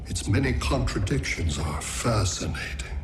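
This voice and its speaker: Alien mastermind: clinical, low and unhurried, in an echo with music behind. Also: male voice, big pitch swings. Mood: cold, detached. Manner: detached, formal, clinical. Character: cold, calculating alien conqueror. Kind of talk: video game cutscene acting. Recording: echoey voice over music.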